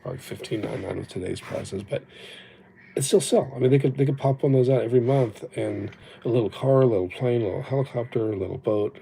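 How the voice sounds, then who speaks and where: A man's voice: mid-range voice, fast, gravelly, mellow, a little hiss or hum, poor audio. A man whose first language was Japanese, chatting casually and reflectively on his livestream, rambling and poking fun at himself, recorded on an old phone. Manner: rambling, reflective, self-deprecating. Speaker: livestream host.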